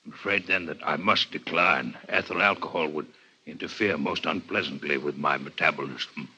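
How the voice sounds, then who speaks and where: Courteous alien scholar, low and very sharp-edged, stiffly precise, vintage radio drama. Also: male voice, moderate pace, breathy edge, full character voice. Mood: formal, courteous. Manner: formal, precise, polite. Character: courteous, learned male alien doctor, bovine-faced. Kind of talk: 1950s radio drama acting. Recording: muffled-edged vintage 1950s radio recording.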